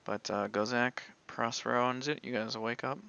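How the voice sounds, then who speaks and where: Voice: mid-range voice, fairly even intonation, normal speed, gravelly, bright, forceful tone, clean tone, muffled recording. A game master casually narrating a tabletop roleplaying game and talking with the players.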